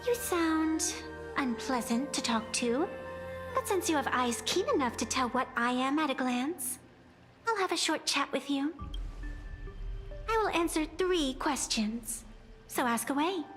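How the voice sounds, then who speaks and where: A rabbit-eared fey arbitrator from an anime game. The voice is high and girlish, with a grandiose, riddling manner that is both aloof and playful. Music plays clearly underneath. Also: expressive, measured pace.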